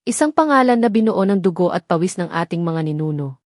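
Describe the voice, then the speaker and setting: A woman's voice: on the low side, lively intonation, fairly quick, darkish tone, dry recording, clean studio sound, natural voice. Woman acting stern, imperious old matriarch. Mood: cold reproach. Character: stern, cutting eighty-year-old family matriarch grandmother.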